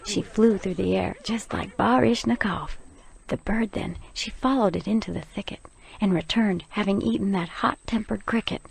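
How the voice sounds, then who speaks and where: A woman with a gravelly, husky voice, narrating a comic story in rhyme. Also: medium pitch, normal speed.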